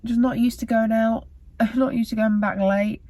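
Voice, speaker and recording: A young British woman vlogging in a casual, rambling way, her voice croaky and tired, full of fillers and self-corrections. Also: fairly high pitch, steady tone, normal speed, mellow, no room sound. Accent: British English. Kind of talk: casual chatty vlog talk to camera.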